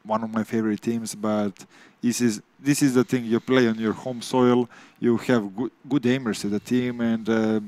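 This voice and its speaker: A man with a Serbian accent giving relaxed, opinionated esports analysis and ending thoughts with "right?". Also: mid-range voice, moderate pace, hardly any pauses, no echo. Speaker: studio analyst. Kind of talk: casual esports analysis chat.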